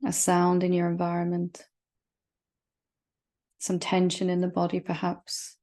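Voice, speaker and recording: Slow, low-voiced woman guiding a meditation. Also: monotone. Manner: gentle, reassuring, unhurried with long pauses. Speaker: meditation teacher leading a satsang. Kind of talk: guided meditation.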